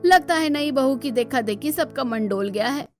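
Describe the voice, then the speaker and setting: A woman's voice: high voice, normal speed, darkish tone, soft background music, natural voice. A woman voicing an earthy, teasing old village mother-in-law in a cartoon, with rustic, scolding speech. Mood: gossiping.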